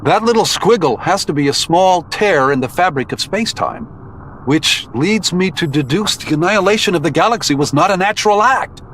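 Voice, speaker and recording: Man acting analytical hero scientist, lively intonation, articulate, earnest, faint background noise, comic-book audio drama. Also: a little high, poor audio. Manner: deductive, articulate.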